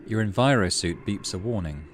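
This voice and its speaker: A man doing a casual video game playthrough, reading story text aloud in measured narration with muttered asides. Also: lowish voice, animated. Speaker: gaming channel host. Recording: noticeable background noise.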